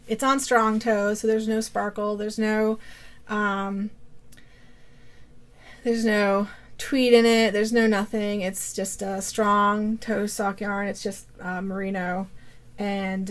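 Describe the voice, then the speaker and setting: Slow-talking woman with a cold. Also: medium pitch. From Vermont, USA. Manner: rambling, many fillers and restarts. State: has a cold. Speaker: yarn dyer and podcast host. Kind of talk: casual show-and-tell video podcast.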